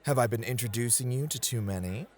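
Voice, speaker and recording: A man playing a suave, playful club host in a flirty ASMR roleplay, with smooth, teasing, theatrical hospitality. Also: mid-range voice, quick pace, lightly acted. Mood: teasing, welcoming. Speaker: club host showing a guest around.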